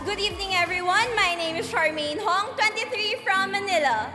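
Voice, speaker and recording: A woman's voice: extremely high pitch, moderate pace. A woman in her twenties giving a staged self-introduction as a pageant contestant. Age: 20s. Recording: echoey stage microphone over music.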